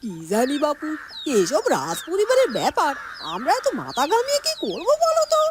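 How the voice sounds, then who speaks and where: A woman voicing expressive female storybook figures and narration for a fairy-tale cartoon. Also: sits high, normal speed. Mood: hushed, gossipy. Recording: clear background noise and ambience.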